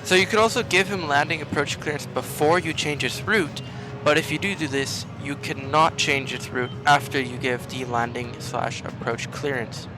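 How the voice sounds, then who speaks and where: A man's voice: fairly high pitch, moderate pace, hardly any pauses, music in the background, low-quality recording. A man hosting a gaming video, explaining a game step by step in tutorial-style commentary.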